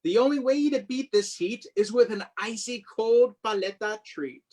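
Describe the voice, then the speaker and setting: An author, perhaps in their thirties or forties, reads their own picture book aloud to children in an animated, expressive way, with asides. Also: medium pitch, moderate pace. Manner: expressive, sing-song on refrains, commenting between lines.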